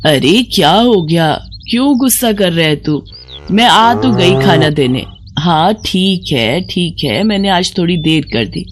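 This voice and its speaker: A narrator voicing a gentle, forgiving elderly village mother-in-law with patient, soothing speech in an animated moral story. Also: medium pitch, measured pace, slight background noise, natural voice. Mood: apologetic, gentle.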